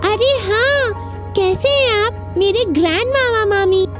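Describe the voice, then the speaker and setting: Very high-pitched little girl. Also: slow pace, faint music behind. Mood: playful, curious. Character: little girl, playful and curious. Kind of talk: Hindi audio drama acting.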